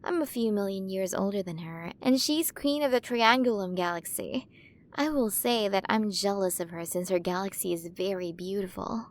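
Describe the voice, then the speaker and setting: A woman's voice: mid-range voice, moderate pace, close to an everyday voice. A woman voicing a playful, curious alien queen of a galaxy in an ASMR roleplay, speaking to the listener in a fascinated, coaxing way. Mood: chatty, confiding.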